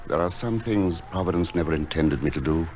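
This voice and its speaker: A man playing a gentle, noble, resolute recluse in a radio play, speaking with solemn, theatrical earnestness. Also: low-pitched, a little fast, slight background noise, lightly acted. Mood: heavy-hearted. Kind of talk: dramatic radio play acting.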